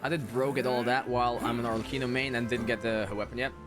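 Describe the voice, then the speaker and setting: A man chatting casually and a little haltingly on a gaming livestream. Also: mid-range voice, level tone, music in the background. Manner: informal, halting, chatting with viewers. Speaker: game streamer. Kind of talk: casual livestream chat over gameplay.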